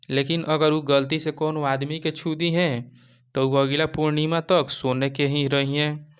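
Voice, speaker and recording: A man's voice: fairly high pitch, clear, resonant tone. Male narrator for a moral tale, Bhojpuri accent, clean resonant mid-range voice. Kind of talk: scripted moral-story narration.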